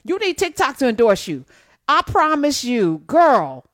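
Woman host, emphatic and animated, higher voice, dead-dry recording. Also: a little slow, no echo. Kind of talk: casual political chat.

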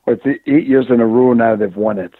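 A man's voice: mid-range voice, steady tone, fast, husky, no room sound. A man from Scotland, raised in northern England, recalling his football youth as an interview guest, talking hesitantly with many fillers and restarts. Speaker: guest, former football player. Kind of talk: casual interview, storytelling. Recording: dry phone-line audio.

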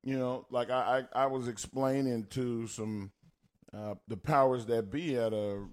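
A man's voice: mid-range voice, steady tone, a little slow, a little mellow. A man with a Texas country accent, co-hosting a casual podcast chat and telling stories in an easygoing way. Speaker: podcast co-host.

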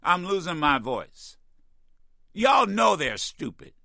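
A man hosting a talk radio show, ranting in an animated, exasperated way with many stammered restarts, his voice getting worn. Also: high voice, takes it slowly, vocal fry, no echo, studio quality. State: losing his voice. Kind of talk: opinionated talk radio rant.

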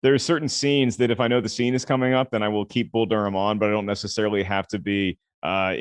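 Man, deep low voice, film critic guest, relaxed storyteller, dry room. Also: fast, clean tone, no room sound. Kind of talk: podcast conversation.

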